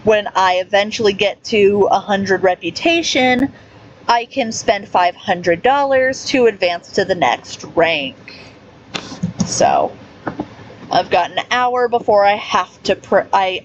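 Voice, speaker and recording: A woman giving casual gameplay commentary, reading game menus aloud in a halting way with fillers and restarts. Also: mid-range voice, animated, dull audio, slight background noise. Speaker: gaming YouTuber.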